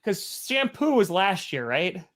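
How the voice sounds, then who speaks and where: A man's voice: sits high, big pitch swings, unhurried, over a noisy crowd. A man co-hosting a casual sports podcast, laid-back and self-mocking. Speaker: podcast co-host. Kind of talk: casual chat.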